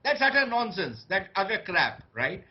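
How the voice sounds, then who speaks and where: A man's voice: high voice, sing-song, moderate pace. A man with an Indian accent giving opinionated debate commentary as a panelist, blunt, scornful and emphatic. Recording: dull lo-fi broadcast audio.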